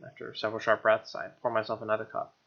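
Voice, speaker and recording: A man reading visual novel narration aloud as a let's player, expressive, with casual asides and reactions. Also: fairly low pitch, fast. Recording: dull lo-fi mic, music clearly behind. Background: game music.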